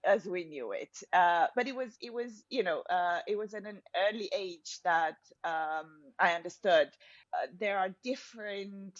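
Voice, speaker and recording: A woman from Romania with a Romanian accent answers as an interview guest, speaking haltingly with frequent pauses. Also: on the low side, no room sound, highs cut off. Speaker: podcast guest, personal branding specialist. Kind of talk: interview answer, recounting personal story.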